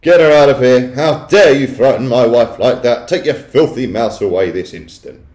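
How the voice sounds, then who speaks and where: A man voicing a large, coarse, pushy middle-aged father in a storybook, brusque, indignant and shouting. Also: mid-range voice, big pitch swings, normal speed, pitched above the performer's own voice, lightly acted. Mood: outraged. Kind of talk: children's storybook character voice. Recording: echoey room.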